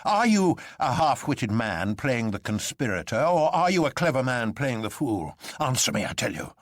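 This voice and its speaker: A man's voice: medium pitch, very animated, moderate pace, no echo, clean studio sound, voice pushed up, lightly acted. A man voicing a stern, dignified council secretary in a radio story, stiff, formal and indignant. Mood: savage indignation. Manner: stiff, formal, savage outbursts.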